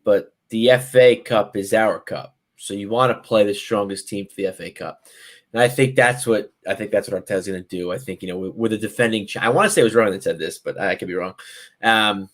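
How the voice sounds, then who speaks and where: A man co-hosting a football podcast, rambling and thinking aloud in casual chat. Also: fairly low pitch. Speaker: podcast co-host.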